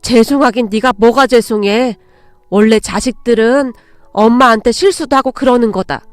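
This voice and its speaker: Woman acting older mother-in-law, protective, folksy elder speech, high female register, dry studio sound, storybook drama. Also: moderate pace, dry recording, lightly acted. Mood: tender, consoling. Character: fierce, protective older mother-in-law, tender with her own.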